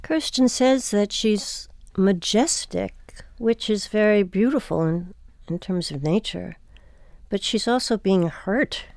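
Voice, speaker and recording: A woman moderating a panel, putting measured questions and remarks to the group. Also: medium pitch, darkish tone, dry recording, studio quality.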